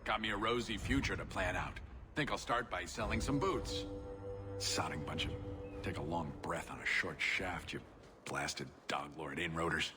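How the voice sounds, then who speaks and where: Man acting a gruff, low-voiced, griping smuggler. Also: moderate pace, lightly acted. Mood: sour, sarcastic. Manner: gruff, sarcastic, griping in colorful slang. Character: cornered, grumbling, foul-mouthed small-time smuggler. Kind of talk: video game voice acting. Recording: loud music under the voice. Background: game music.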